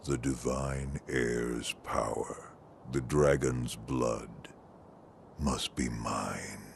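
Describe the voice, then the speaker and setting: An actor playing a stern, scheming old shinobi father in a video game, with a gravelly, theatrical, imperious delivery. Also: extremely low pitch, fairly even intonation, very slow, slight background noise, full character voice. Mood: cold, grasping. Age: older. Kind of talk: dramatic video game voice acting.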